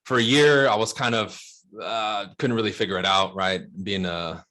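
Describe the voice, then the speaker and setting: A man's voice: lowish voice, steady tone, moderate pace, sharp tone. A man in his mid-thirties with an American accent, talking casually and emphatically as an interview guest, with slangy check-ins. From Los Angeles, United States. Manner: slangy, emphatic, often checks with "right?" and "bro". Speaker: interview guest, real estate broker.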